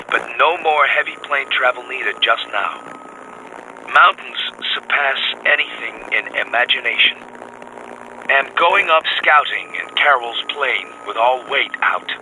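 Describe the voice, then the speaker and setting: Clipped explorer on noisy wireless. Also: male voice, medium pitch, pushed and bright. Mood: brisk. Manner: clipped, telegraphic phrasing. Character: excited male polar expedition scientist reporting by wireless. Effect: crackly radio transmission. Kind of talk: radio drama reading of telegram-like dispatches.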